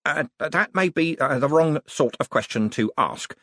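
A man's voice: on the high side, lively intonation, a little slow, sharp tone, dry recording, clean studio sound, voice pushed up, heavily acted. A man voicing a friendly, flustered young wizard scholar in an audiobook, modest but bookish, hesitating and stammering with many ums. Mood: earnest, technical.

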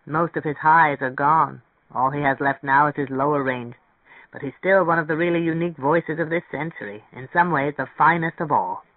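A man reading a story, playing a cultured, opinionated middle-aged music connoisseur with a measured, knowing manner. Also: fairly high pitch, no room sound, narrow, tinny audio, natural voice. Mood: matter-of-fact.

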